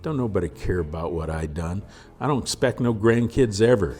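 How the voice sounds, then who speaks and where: A man voicing a gruff, plain-spoken frontier scout with an in a storybook reading. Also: on the low side, lively intonation, moderate pace, a little mellow, soft background music, close to an everyday voice. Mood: weary. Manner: folksy, gritty, plain-spoken.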